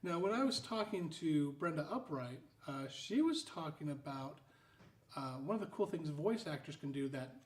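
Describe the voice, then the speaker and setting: An animated, joking interview host trades questions with a guest, words tumbling over restarts. The voice sits mid-range and rings in a roomy, echoey space. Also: mid-range voice, normal speed. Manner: animated, jokey, stumbling restarts. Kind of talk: casual interview chat.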